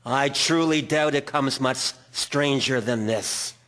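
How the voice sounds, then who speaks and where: Formal, earnest mid-pitched doctor played by a man. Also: fairly bright tone. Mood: amazed. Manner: formal, measured wording. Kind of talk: scripted audio drama acting.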